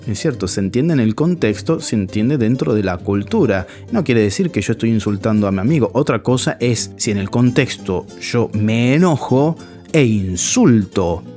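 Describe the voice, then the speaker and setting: Argentine male friar, chatty commentary straight to camera, animated delivery, faint music under. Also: medium pitch, normal speed. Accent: Argentine Spanish. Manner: conversational, addresses viewer directly. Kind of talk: casual video commentary.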